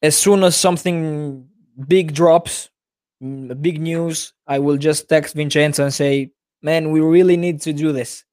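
Guy hosting a fan podcast over a video call, kinda high voice, dry sound, lots of uhs. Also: fairly even intonation, a little slow, a little mellow, no room sound. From Italy. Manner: non-native English, hesitant with many uh fillers. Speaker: podcast host. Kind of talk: casual chat.

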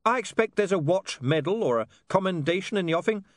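A man's voice: high-pitched, animated, a little fast, no room sound, studio quality, voice pushed up. A man voicing a young newspaperman who asks pointed questions with sweet, haughty politeness in an audiobook. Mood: cheerful.

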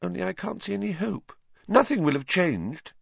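Man voicing a shrill, high-pitched teenage girl. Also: sing-song, normal speed, bright, forceful tone, no room sound, dull audio, full character voice. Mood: tearful, bitter. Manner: shrill, emotional. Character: upset, fierce bookish teenage girl.

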